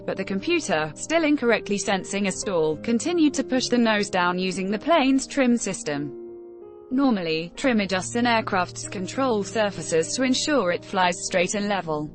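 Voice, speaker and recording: Female news narrator for scripted article read, medium pitch, background music.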